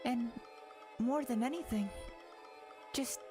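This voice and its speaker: A woman's voice: on the high side, takes it slowly, voice pushed up. Woman voicing a timid, emotional teenage girl over music. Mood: nervous, giddy. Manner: stammering, pleading, breathy whispers when upset. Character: timid, distraught teenage girl slowly turning giddy. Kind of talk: visual novel fan dub, dramatic reading.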